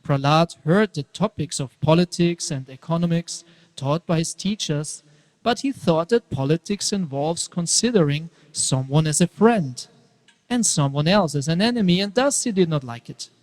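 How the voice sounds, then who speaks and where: A man narrating a scripted dramatic scripture reading in a measured storytelling manner. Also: fairly high pitch, expressive, darkish tone. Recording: very reverberant hall.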